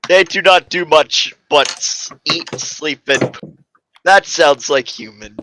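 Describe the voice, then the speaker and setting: A man's voice: fairly high pitch, slow, background noise. A man narrating a comic story informally as an amateur dramatic reading, stumbling over the text at times.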